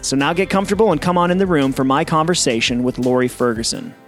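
A man's voice: on the high side, over music. A male pastor hosting a podcast, reading a scripted intro and then asking easygoing interview questions. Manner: friendly, measured questions with fillers.

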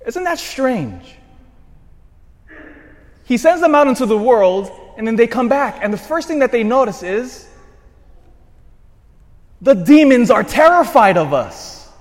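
Animated priest preaching in a reverberant church. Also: male voice, very high voice, a little slow, pauses often. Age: 40s. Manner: animated, swings from shouted emphasis to quiet asides. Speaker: parish priest. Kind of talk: sermon.

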